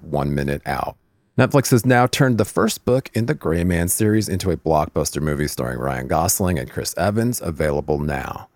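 A man speaks as a podcast host in a notably deep register. His intonation moves widely from phrase to phrase, and the sound is dry, with no room around it. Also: darkish tone, no echo. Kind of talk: scripted podcast intro and ad reads, interview chat.

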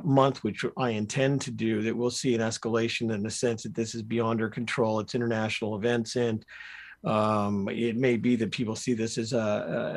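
A man with a Canadian accent speaking as an expert interview guest, explaining things step by step in a measured way. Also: medium pitch. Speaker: public opinion pollster, interview guest.

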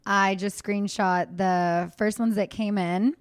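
A woman's voice: medium pitch, fairly even intonation, slow pace, clear, resonant tone, no room sound. A pregnant woman hosting a podcast, chatting candidly as she answers fan questions. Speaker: podcast host. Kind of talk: casual chat.

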